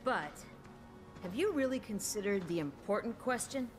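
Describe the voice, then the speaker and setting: An actress playing a seasoned, wry older woman researcher in a video game, measured and assured as a mentor. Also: medium pitch, expressive, music in the background, natural voice. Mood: musing, reverent. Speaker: game voice actor. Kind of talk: dramatic video game cutscene acting.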